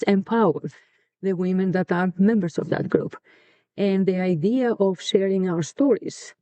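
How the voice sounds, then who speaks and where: A middle-aged woman from Greece speaks with a Greek accent, weighing her words with frequent pauses. Her voice is mellow and relaxed. The recording sounds dry and somewhat muffled. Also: medium pitch, no room sound. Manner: earnest, thoughtful, pauses with um. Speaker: co-host. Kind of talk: casual conversation.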